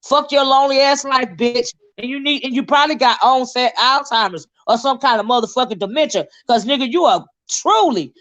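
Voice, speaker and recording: A woman's voice: high-pitched, brisk, hardly any pauses, a bit bright. Blunt, cursing woman livestreamer. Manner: profane, blunt and combative, emphatic cursing. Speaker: livestream host. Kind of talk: heated livestream commentary.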